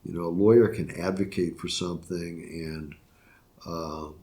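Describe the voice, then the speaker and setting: A man's voice: deep voice, steady tone, mellow. An older man, a retiring judge from Florida, answering interview questions reflectively, with frequent restarts and fillers. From Florida, USA. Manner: halting, many restarts and fillers. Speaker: retiring circuit court judge.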